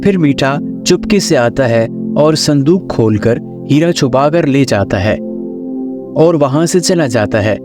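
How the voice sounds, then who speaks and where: A man's voice: mid-range voice, lively intonation, a little slow. A man reading scripted narration of a children's story as the storyteller. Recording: music under the voice.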